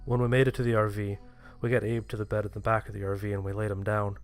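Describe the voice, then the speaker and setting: A man's voice: fairly low pitch, level tone, fast talker, faint music behind. A man reading a scripted first-person horror story as its narrator.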